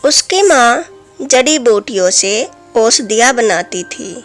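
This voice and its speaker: Slow, high-voiced woman telling a fairy tale. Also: animated, faint music behind. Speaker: storyteller. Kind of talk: scripted fairy tale narration for children.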